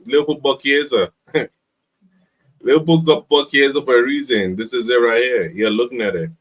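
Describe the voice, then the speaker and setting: A man's voice: medium pitch, normal speed, a bit bright, husky, poor audio. A man on a football panel argues his points casually and emphatically, often repeating them. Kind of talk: casual sports debate.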